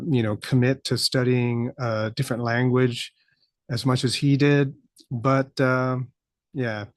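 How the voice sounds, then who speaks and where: A middle-aged man with an American accent talks through his thoughts on a solo podcast, pausing often and filling gaps with "uh". His voice sits at an ordinary pitch for a man. The sound is dry and close, with no room around it. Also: no room sound. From United States. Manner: reflective, thinks aloud with frequent fillers. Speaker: podcast host.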